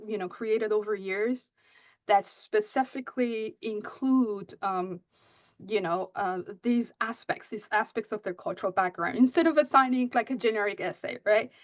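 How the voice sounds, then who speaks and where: A woman's voice: on the high side, no room sound. A woman whose first language is Persian, giving a conference talk on teaching writing, often asking "right?" and restarting her sentences. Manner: frequent "right?" checks, many restarts. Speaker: writing instructor. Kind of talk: conference presentation. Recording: muffled dry audio.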